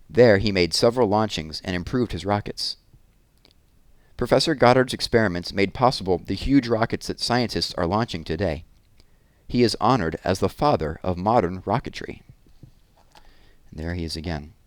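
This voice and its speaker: A man with a low voice reads a true story aloud for children. He narrates it as the host, and the sound is dry with very little room. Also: dry recording.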